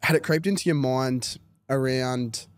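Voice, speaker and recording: A man's voice: mid-range voice, unhurried, clean tone, dry recording, clean studio sound. An Australian man in his twenties asking questions in a casual podcast interview. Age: 20s. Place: small indoor podcast studio. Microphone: close broadcast mic on boom arm.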